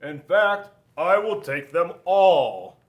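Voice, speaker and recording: A man voicing a huge, greedy, boastful killer whale in a storybook, slow and emphatic. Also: high voice, very animated, highs cut off, full character voice. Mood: booming, greedy.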